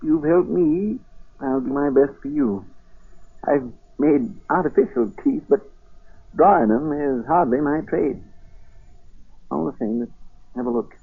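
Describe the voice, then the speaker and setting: A spirited colonial master craftsman in an old radio play. His rough, creaky voice sits a little high and carries proud, theatrical dignity. The sound is the narrow, muffled band of a 1940s broadcast. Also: measured pace, long pauses. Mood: brisk, appreciative.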